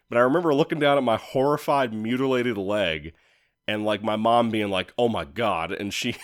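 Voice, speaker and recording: A man in his thirties with a fairly low voice talks through a review on his own. He is informal and enthusiastic, rambling like someone chatting to friends and slipping in the odd mild swear. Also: fairly bright tone. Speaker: video reviewer. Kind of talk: casual rambling solo review with personal stories.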